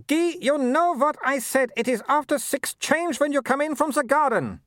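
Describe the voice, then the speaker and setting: Imperious older werewolf noblewoman, her voice pitched well above his own and swooping wide with disdain. Every remark lands sharp and scornful, from an audiobook read dry and close. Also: expressive, moderate pace, no room sound. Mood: scolding. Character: haughty snobbish older werewolf baroness.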